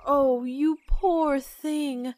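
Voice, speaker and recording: Cast: chatty upbeat woman sidekick, played by a woman, slightly high, slow unhurried lines, faint music behind. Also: steady tone, a little mellow, dry recording. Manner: explanatory, teasing, upbeat. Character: chatty, cheerful female companion of the swordsman.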